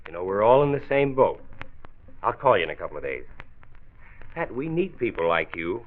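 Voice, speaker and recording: An actor playing a friendly, earnest health commissioner in a 1940s American radio drama, speaking in a measured, persuasive, polite way. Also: male voice, lowish voice, big pitch swings. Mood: earnest persuasion. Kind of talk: 1940s radio acting and narration. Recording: muffled 1940s radio transcription disc.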